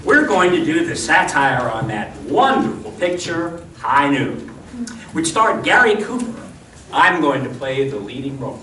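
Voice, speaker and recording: A man's voice: fairly high pitch, very animated, a little slow, bright, forceful tone. A man hosting an old-time radio comedy show on stage. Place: live stage performance in a hall. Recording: echoey hall, distant mic, noisy.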